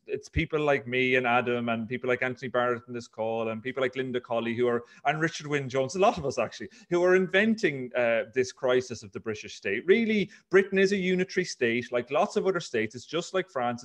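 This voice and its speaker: Male panel host, conversational, restarts and fillers while framing questions, video call. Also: mid-range voice. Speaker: panel moderator. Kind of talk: online panel discussion.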